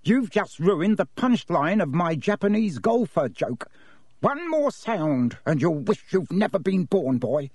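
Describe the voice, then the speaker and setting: A man's voice: medium pitch, lively intonation, a little slow, a little mellow, no echo, studio quality. A man voicing a bullying, short-tempered middle-aged uncle in an audiobook. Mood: furious, menacing. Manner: menacing, through gritted teeth. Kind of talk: audiobook character voice.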